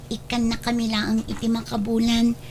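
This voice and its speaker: A young, polite wife with a high voice , acted by a woman, still a college student. She has a Filipino Ilocano accent and sounds like radio drama, with some noise in the recording. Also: monotone, moderate pace, a little mellow, close to an everyday voice. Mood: grateful, polite.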